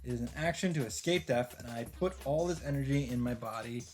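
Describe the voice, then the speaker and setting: A man's voice: mid-range voice, fairly quick, darkish tone. A man reading visual novel narration aloud as a let's player, dropping in casual, wry asides. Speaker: video game let's player. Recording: music behind the voice.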